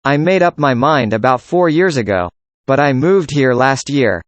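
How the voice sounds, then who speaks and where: A man reading a student's part in a scripted classroom conversation, with an even, measured delivery. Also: medium pitch, no echo. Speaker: student in a dialogue.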